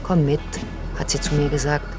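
A woman's voice: deep voice, flat delivery, moderate pace, natural voice. An actress playing a wry, sceptical, observant woman in a radio drama, speaking in a dry, understated way. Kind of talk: radio drama, first-person narration and dialogue. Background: music.

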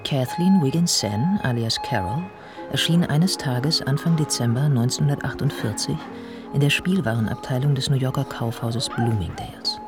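Radio drama narrator, male actor: mid-pitched, composed, precise literary delivery over music. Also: natural voice. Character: observant narrator of a writer's life, composed, literary.

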